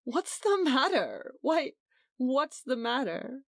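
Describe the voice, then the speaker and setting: A woman's voice: high voice, big pitch swings, takes it slowly, no room sound, clean studio sound, voice pushed up, exaggerated voice. A woman voicing a young husband who speaks curtly and with scorn. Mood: scornful. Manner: curt and scornful. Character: contemptuous, protective young husband.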